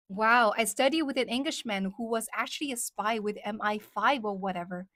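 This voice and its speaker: A young woman from China speaks in a somewhat high voice. She chats casually with her livestream viewers, repeating their questions out loud and moving between English and Mandarin. Her voice sounds dry and close, with almost no room sound. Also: moderate pace, no echo. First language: Chinese. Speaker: livestream host. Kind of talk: casual livestream chat reading viewer comments.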